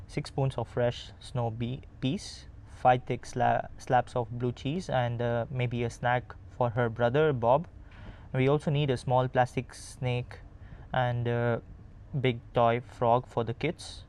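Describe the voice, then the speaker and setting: A man's voice: medium pitch, little pitch movement, takes it slowly, a little mellow, clean tone. A man with an Indian accent, reading a practice text aloud and repeating words during an accent lesson. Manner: agreeable, answers with repeated okays. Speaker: accent student. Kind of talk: casual chat and reading aloud.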